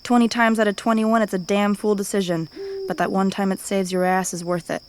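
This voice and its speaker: A woman's voice: mid-range voice, little pitch movement, brisk, slight background noise, natural voice. A woman playing a tough, wary thief queen and tracker in an audio drama, speaking tersely and matter-of-factly. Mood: resolute. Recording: faint night insect ambience.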